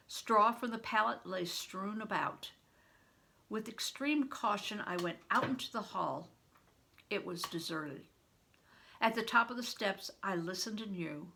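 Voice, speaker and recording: An older woman reading a novel aloud as a storyteller for children, with deliberate, dramatic phrasing and stretched emphasis. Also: medium pitch, creaky, sharp tone, a little hiss or hum. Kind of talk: expressive read-aloud storytelling.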